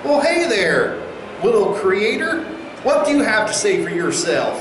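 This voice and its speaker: Man playing a smug, high-voiced corporate spokesman. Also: sing-song, background noise. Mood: patronizing. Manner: smug, patronizing, halting asides. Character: smug, condescending male corporate spokesman addressing angry protesters. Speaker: company spokesman. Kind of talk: satirical sketch, mock press conference. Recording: roomy echo, faint music behind.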